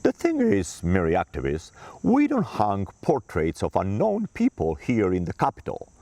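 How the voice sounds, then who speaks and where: A man reading as a courteous senator, very lively pitch. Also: moderate pace, dry recording. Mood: polite. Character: polite, formal older male senator. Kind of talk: read-aloud of a children's book.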